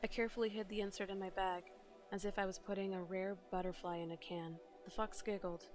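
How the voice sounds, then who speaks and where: A woman's voice: medium pitch, level tone, fast. A woman streamer reading game dialogue aloud and translating it, in a dramatic narrating manner. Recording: streamed with game music behind.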